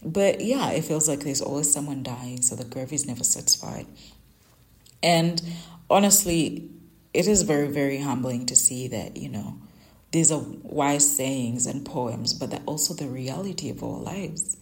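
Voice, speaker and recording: A woman's voice: a bass voice, expressive, a little slow, a little mellow. A young woman whose first language is Luganda, hosting a devotional podcast and sharing earnest, conversational reflections on scripture and her own life. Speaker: Bible devotional podcast host. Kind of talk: personal Bible reflection and teaching.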